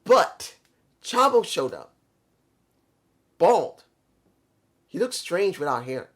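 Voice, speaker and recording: Man, fairly high voice, casual show reviewer, rambling, self-correcting, trips over names. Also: sing-song, slow, fairly bright tone. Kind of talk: casual solo review talk.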